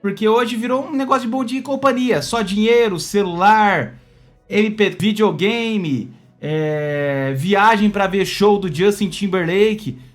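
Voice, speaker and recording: A Brazilian man hosting a casual opinion video, talking to viewers in an expressive, conversational way that often seeks their agreement. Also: sits high, unhurried, faint music behind. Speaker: YouTube channel host.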